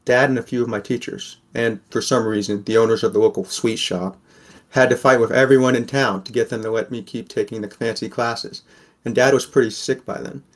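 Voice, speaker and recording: A man's voice: medium pitch, fairly even intonation, fairly quick, lightly acted. A man playing a wary, sardonic sixteen-year-old boy who narrates an audio drama in the first person. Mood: wry, reflective.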